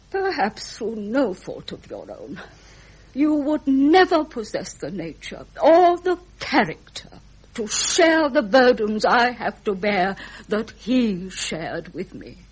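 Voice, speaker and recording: Brief: elderly British queen for radio play, imperious and wounded, measured delivery, voice swinging widely in pitch. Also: very animated, husky, exaggerated voice, poor audio. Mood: disappointed, reproachful. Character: stern, grieving old queen, reproachful mother of grown son. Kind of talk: British radio drama acting.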